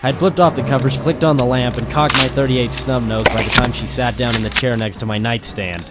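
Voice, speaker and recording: A man's voice: medium pitch, steady tone, brisk, natural voice. A man playing a world-weary, wisecracking private detective in an old-time radio drama style, with theatrical noir delivery. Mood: noir narration. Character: world-weary hardboiled male private detective narrator, ex-Marine. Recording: music behind the voice.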